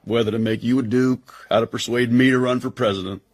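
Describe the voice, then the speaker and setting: An actor with an American accent playing a tough, loyal intelligence agent in a radio drama, husky, wry and slangy. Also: male voice, mid-range voice, steady tone, fast talker, close to an everyday voice. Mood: wry, breezy.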